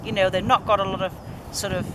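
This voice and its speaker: A woman's voice: mid-range voice, flat delivery, fast. A woman hosting a casual on-location interview by a lake, chatty and hesitant with fillers. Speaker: interviewer, ministry visitor. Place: outdoors by a lake and forest. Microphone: camera held by a companion. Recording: outdoor noise.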